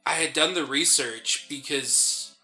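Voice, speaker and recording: A man's voice: on the high side, measured pace, pushed and bright, low-quality recording. A young man co-hosting a gaming show, chatting casually in a rambling, slangy way as he plays. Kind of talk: casual chat during video game commentary. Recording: game music behind the voice.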